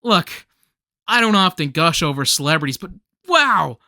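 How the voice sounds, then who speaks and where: A starstruck, earnest fan, played by a woman, with a bright, lively voice. The delivery is gushing and halting, in radio play style. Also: lowish voice, no room sound, studio quality, exaggerated voice. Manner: stammering, gushing.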